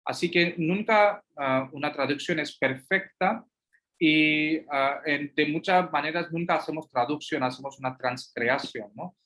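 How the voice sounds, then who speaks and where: Slow, halting male lecturer. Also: a little high, pushed and bright. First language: Hindi. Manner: slow and halting, many fillers and restarts. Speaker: guest lecturer and translator. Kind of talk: answering audience questions.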